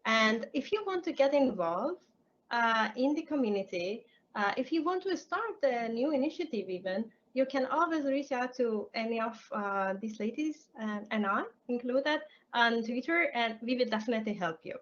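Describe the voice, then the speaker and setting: Brief: woman host, fairly high voice, halting delivery, muffled call audio. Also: expressive. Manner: hesitant, many restarts. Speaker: session host. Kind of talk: live online event closing. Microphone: video call. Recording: muffled video-call audio with faint noise.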